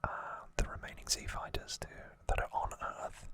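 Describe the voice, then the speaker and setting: An ASMR host whispering a conversational recap in a breathy, unvoiced, hushed voice. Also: moderate pace.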